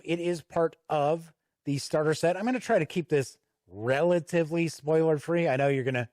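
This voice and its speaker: A man's voice: on the high side, no echo, clean studio sound. A man hosting an unscripted tabletop game review, talking conversationally and explaining as he goes. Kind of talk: unscripted review commentary while paging through a product.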